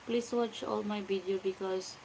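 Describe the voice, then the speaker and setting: A woman's voice: mid-range voice, steady tone, vocal fry. A Filipino woman in her forties hosting a casual livestream. She reads out viewer comments and greets people by name, talking into a phone. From Philippines. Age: 40s. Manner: greets viewers by name, slow and chatty, mixes in Tagalog. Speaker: livestream vlogger. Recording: noisy room ambience.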